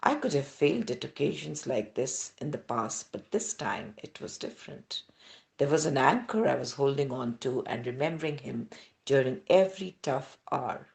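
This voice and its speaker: A woman with an Indian English accent reads a devotional narrative aloud from a text, with measured, deliberate phrasing. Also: a bass voice, lively intonation, creaky, darkish tone. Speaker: devotional reader.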